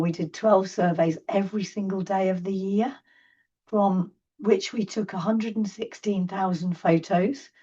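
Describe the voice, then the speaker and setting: A woman with a hoarse, failing voice giving a chatty, apologetic online presentation for a charity from her desk. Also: medium pitch, warm tone. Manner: chatty, apologetic, self-deprecating. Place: home desk. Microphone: computer mic on video call. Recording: distant, dull video call audio.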